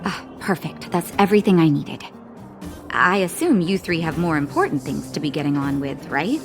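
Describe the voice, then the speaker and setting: Composed, lower-voiced female medic. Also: lively intonation, moderate pace, music in the background. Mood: composed, teasing. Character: composed, teasing adult female underground medic. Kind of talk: scripted video game cutscene dialogue.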